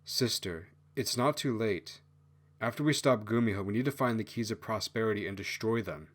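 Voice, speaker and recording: A stern, earnest male fox , voiced by a male narrator, speaks in a fairly low register. His manner is grave and moralizing, like an elder brother in a fantasy storybook. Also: normal speed, natural voice. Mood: earnest, forgiving.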